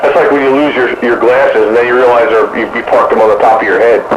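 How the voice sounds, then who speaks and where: A man chatting casually about technical matters as a radio hobbyist, halting as he thinks aloud. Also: medium pitch, steady tone. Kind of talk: casual technical chat over two-way radio. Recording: muffled, noisy two-way radio transmission.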